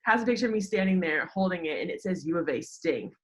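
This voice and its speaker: A woman's voice: lowish voice, lively intonation, quick pace. A young American woman chatting casually as an interview guest, sprinkling "like" through her sentences and often acting out what people said. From United States. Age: young adult. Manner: chatty, peppered with "like", quotes herself and others. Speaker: interview guest, former college golfer in sports media. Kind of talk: casual chat, personal anecdotes.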